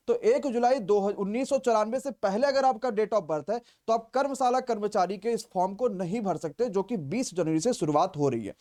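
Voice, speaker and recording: High-pitched man teaching. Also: a little mellow. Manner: explanatory, repeats key points for emphasis. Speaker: coaching class teacher. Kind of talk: informal exam vacancy briefing.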